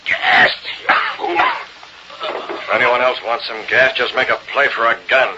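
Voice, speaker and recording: An actor playing a cool, commanding masked vigilante who is also a newspaper boss, in old radio crime drama. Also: male voice, mid-range voice, fairly even intonation, slow, close to an everyday voice. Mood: commanding, taunting. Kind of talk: 1930s-40s radio acting. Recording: noisy, muffled old radio recording.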